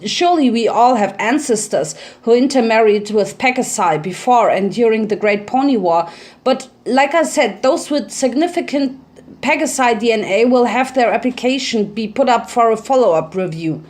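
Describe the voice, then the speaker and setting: A bureaucratic pony clerk from a storybook drama, put on by a woman. The delivery is hesitant and officious, a little nervous yet indifferent, in a fairly high voice. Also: moderate pace, a little mellow, natural voice. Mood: nervous, evasive. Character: indifferent, slightly frightened pony government clerk.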